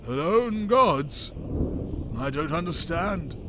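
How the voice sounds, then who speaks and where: A man voicing a humble old alien village elder from a comic book, halting and respectful. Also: fairly high pitch, animated, background noise. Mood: humble, puzzled. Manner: halting, respectful, drawn-out delivery. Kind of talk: dramatic comic book reading.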